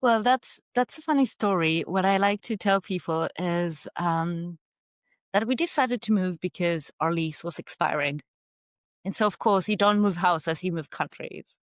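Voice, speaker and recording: A woman, telling her story as a podcast guest in a measured, thoughtful way. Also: fairly low pitch, no room sound, studio quality. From Argentina. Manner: measured and thoughtful, with some fillers and light laughs. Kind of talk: casual interview storytelling.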